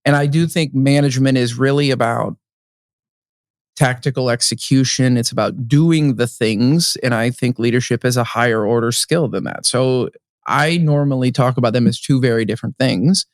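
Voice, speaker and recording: A man's voice: medium pitch, moderate pace, no room sound, clean studio sound. A man co-hosting a podcast at a conference, talking casually with frequent restarts. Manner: chatty, with restarts and hedges. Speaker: podcast co-host. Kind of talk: casual interview chat. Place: conference venue.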